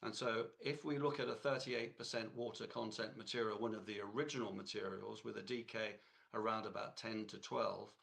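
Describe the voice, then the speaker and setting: A man with a cold, hoarse, giving a technical lecture in a halting way with many fillers and restarts. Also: on the low side, fairly even intonation, fairly bright tone.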